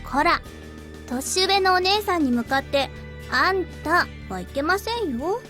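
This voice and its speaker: A woman voicing a polite, big-sisterly young maid who looks like a teenager, gently chiding in a Japanese visual novel. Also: very high-pitched, animated, fairly quick, heavily acted. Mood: gently scolding. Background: music.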